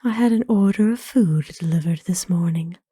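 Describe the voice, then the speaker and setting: A woman playing a kind, doting vampire in an ASMR roleplay, speaking in a gentle, formal, soothing way, mostly in whispers. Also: on the low side, normal speed, husky, dry recording. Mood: caring. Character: kind, doting female vampire owner of a human.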